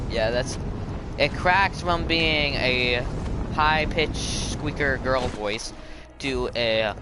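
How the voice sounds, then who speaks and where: A teenage boy with a low voice that sometimes cracks, rambling and chatting with a friend while commentating a racing game. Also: extremely slow, very noisy. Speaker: gaming video host. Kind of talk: casual gaming commentary.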